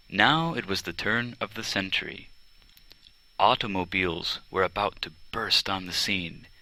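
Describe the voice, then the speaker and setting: A man reading a children's biography aloud as scripted narration, in a measured, clearly enunciated way. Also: sits low, bright, forceful tone, rough recording. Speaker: audiobook reader.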